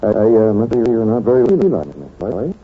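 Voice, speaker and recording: Genial radio detective, animated pitch. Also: male voice, quick pace, husky. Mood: musing, genial. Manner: hesitant, musing, with stammered repeats. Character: genial, wisecracking male doctor turned amateur detective. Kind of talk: 1940s radio detective drama acting. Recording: tinny, hissy old radio recording.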